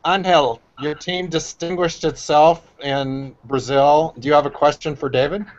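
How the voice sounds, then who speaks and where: A man moderates an online course hangout, calling on participants briskly and upbeat. His voice sits somewhat high. The call audio is dull and lo-fi. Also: fairly even intonation.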